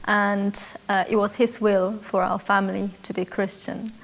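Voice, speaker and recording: A woman in her forties from South Korea with a Korean accent, speaking English as a second language and calmly telling her life story in an interview with hesitant pauses. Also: mid-range voice, gravelly. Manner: reflective, measured, with hesitant fillers. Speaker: interviewee, church member. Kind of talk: personal testimony interview.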